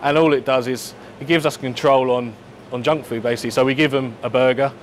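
A man's voice: medium pitch, steady tone, a little fast, a little hiss or hum. A man, a chef explaining his work casually and matter-of-factly to camera. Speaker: head chef at a football club. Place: indoors, club kitchen and dressing room.

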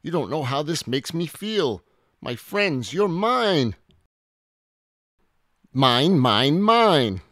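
A man's voice: medium pitch, very animated, takes it slowly, a bit bright, no echo, clean studio sound, voice pushed up, heavily acted. A man voicing a greedy, bratty young male dinosaur in a children's storybook, wailing and possessive. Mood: overjoyed. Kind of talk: children's picture book read aloud.

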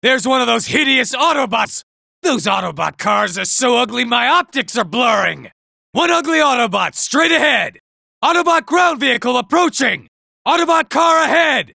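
A man's voice: extremely high pitch, expressive, moderate pace, vocal fry, bright, forceful tone, no echo, heavily acted. An actor playing a vain, boastful male robot soldier who taunts enemies and admires his own looks, in a video game. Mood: shouting alerts. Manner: smug, self-admiring, taunting. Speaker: game character. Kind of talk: video game combat barks. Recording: dry studio recording.

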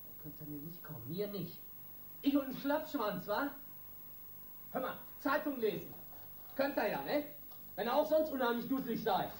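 An actor playing a hot-tempered small-time gang boss in a radio crime drama, coarse and threatening in street slang. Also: male voice, very high-pitched, very animated, moderate pace, long pauses. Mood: boastful, menacing. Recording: roomy, distant, noisy radio drama.

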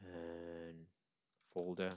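A man presenting an informal step-by-step software demo, on a slightly dull recording with mouse clicks. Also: low-pitched, completely flat delivery, extremely slow, dry recording.

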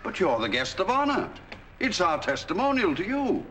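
An actor playing an eager, ingratiating corporate lawyer on an old film soundtrack. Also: male voice, high-pitched, wildly swooping pitch, moderate pace, sharp tone, slight background noise, heavily acted.

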